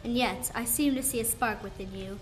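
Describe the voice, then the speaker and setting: A woman's voice: a little high, a little fast, lightly acted, poor audio. A teenage girl playing a stern, wise old woman mystic in a school radio play, speaking in a solemn, formal, portentous way. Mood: grave, reproachful. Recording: music under the voice. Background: music.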